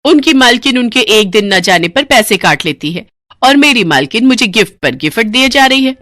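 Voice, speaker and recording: Woman acting a sharp-tongued, high-pitched defensive wife. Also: lively intonation, normal speed, no echo, studio quality. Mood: mocking, defiant. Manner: sarcastic, scolding, dramatic retorts. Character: sharp-tongued, defensive working-class wife, brazenly evasive. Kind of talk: Hindi cartoon story dialogue.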